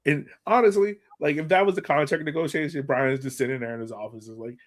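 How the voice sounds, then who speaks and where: A man's voice: on the high side, fast. A man hosting a sports podcast, giving casual fan commentary with playful, acted-out banter.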